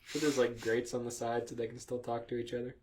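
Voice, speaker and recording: A man with a middle-pitched voice hosts a casual comedy podcast. He reads aloud in a mock storytelling way and drops in joking asides as he goes. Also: flat delivery, fast, background noise. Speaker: podcast host reading fan fiction aloud.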